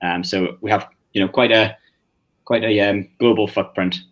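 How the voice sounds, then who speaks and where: A man from England with a Northeast English accent presenting a webinar, pausing often with fillers and restarts. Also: on the low side, steady tone. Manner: hesitant, many fillers and restarts. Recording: muffled lo-fi audio.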